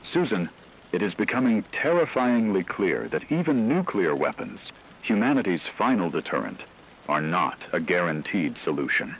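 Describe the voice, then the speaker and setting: A man acts a grave radio news anchor with a deep voice, delivering scripted disaster bulletins. The delivery is solemn, measured and dramatic, with faint noise behind it. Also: sing-song, fairly bright tone, heavily acted, rough recording. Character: grave male radio anchor reporting an apocalypse.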